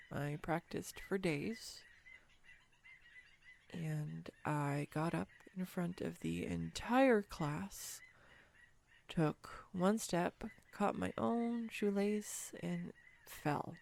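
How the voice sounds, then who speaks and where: A woman's voice: low voice, gravelly, darkish tone, a little hiss or hum, exaggerated voice. A woman playing a clumsy, giggly, self-deprecating girlfriend on a date, in an intimate one-sided roleplay audio drama. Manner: flustered, self-deprecating, chatty with bursts of laughter.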